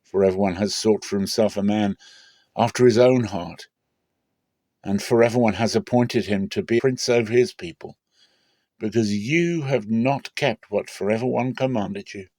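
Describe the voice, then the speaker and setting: A man's voice: lowish voice, moderate pace, darkish tone, no echo, lightly acted. A stern male prophet, played by a man delivers a grave rebuke. The scripture drama is solemn and commanding, recorded dry and close. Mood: stern, rebuking.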